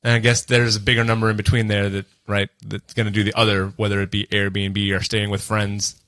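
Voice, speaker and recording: A man's voice: lowish voice, flat delivery, fairly quick, a bit bright. Man hosting a casual podcast. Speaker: podcast host. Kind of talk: casual podcast chat, reading out statistics.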